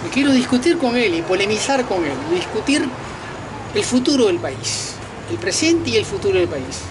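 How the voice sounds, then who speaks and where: A man in his fifties from Peru, talking straight to the camera outdoors at night in a casual, slangy, animated monologue. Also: high voice, normal speed, background noise. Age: 50s. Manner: animated, talks to viewers directly with slangy asides. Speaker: vlogger and self-declared political candidate. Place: outdoors at night on a balcony over a lit city.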